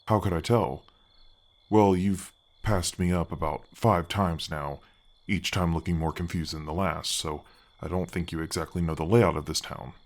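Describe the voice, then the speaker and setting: Guy doing a big friendly gargoyle, super deep fx voice, kinda halting and chatty, asmr fantasy roleplay. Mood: reassuring. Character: gentle, helpful male gargoyle, ancient temple guardian. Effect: deep voice effect.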